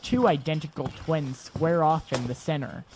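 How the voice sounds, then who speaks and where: Higher-pitched male audio drama narrator. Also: normal speed, background noise. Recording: clear music bed behind the voice.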